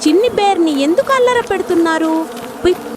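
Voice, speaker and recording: A woman's voice: very high voice, animated, brisk, noisy background, pitched above the performer's own voice. A woman voicing a playful, hasty little male bear cub in a children's storybook, talking in an eager, childlike way. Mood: panicked. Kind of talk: storybook character voice.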